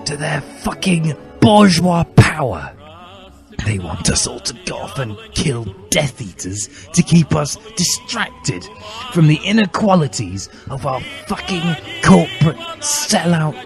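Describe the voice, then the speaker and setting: A man's voice: fairly high pitch, very animated, slow, soft background music, voice pushed up, heavily acted. A man voicing a smug, fervent teenage boy revolutionary in a preachy, ranting manner from a story reading. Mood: scornful, fervent. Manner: ranting, preachy, foul-mouthed emphasis.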